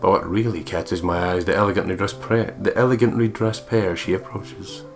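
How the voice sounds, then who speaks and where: A man reading visual novel text aloud as a deliberate, storyteller-like narrator. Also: sits low, fairly even intonation. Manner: deliberate, storyteller-like, playful putting on of voices. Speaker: gaming video co-player reading the text aloud. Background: game music.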